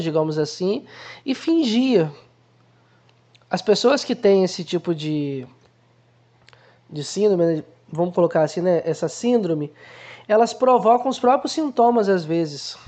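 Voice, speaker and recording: A man hosts an unhurried film analysis, speaking in a warm, mellow voice. He explains ideas conversationally and often acts out small everyday examples to make his point. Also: high-pitched, lively intonation. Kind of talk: casual explanatory film commentary.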